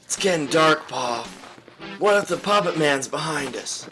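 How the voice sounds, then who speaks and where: A man voicing a needy, hungry young son who plaintively pesters his father in a dark comic audio drama. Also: on the high side, lively intonation, unhurried. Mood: anxious pleading. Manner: plaintive, repetitive questioning. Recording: music under the voice.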